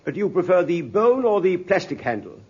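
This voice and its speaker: An actor in a 1950s radio drama playing a brisk, deferential shop assistant, polite and salesmanlike. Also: male voice, sits high, big pitch swings, a little mellow, slightly rough, heavily acted. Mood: obliging. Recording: muffled vintage radio recording.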